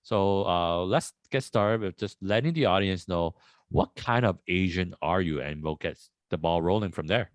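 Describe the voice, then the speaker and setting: A man hosting a casual podcast interview, rambling and relaxed with many fillers. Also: low-pitched, moderate pace, no room sound. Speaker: podcast host.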